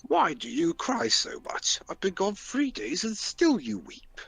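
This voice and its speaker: Man putting on a husky, grand old wizard. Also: high-pitched, wildly swooping pitch, a little slow, a bit bright, dry recording, full character voice. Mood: concerned. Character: kindly wise old male wizard, grand and solemn. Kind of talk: fairy-tale read aloud during a game.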